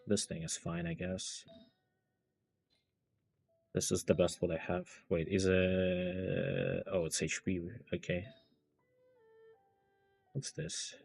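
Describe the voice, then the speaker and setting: A man with a very low, gravelly voice chats casually through a game stream. He mutters and thinks out loud as he plays, with a husky edge to his speech and music faintly behind him. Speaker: game livestreamer. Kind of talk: casual gameplay commentary.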